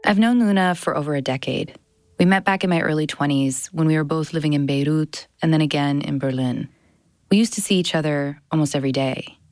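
A woman's voice: low voice, a little mellow, dry recording, clean studio sound. A woman, around her thirties, narrating a scripted audio documentary as its host. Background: music bed.

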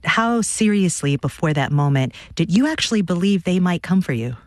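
An interviewer asking calm, measured questions. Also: mid-range voice, no echo, clean studio sound.

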